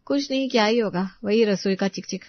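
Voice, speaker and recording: A woman's voice: mid-range voice, a little fast, no room sound, dull audio, lightly acted. Woman voicing a harried, thrifty young housewife. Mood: exasperated. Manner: explaining, protesting. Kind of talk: Hindi moral-story character dialogue.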